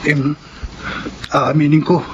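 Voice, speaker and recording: An actor playing a timid, reluctant young male runaway turned thief, halting and creaky, in a Filipino radio drama. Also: fairly high pitch, flat delivery. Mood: nervous, hesitant. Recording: dull, noisy radio drama audio.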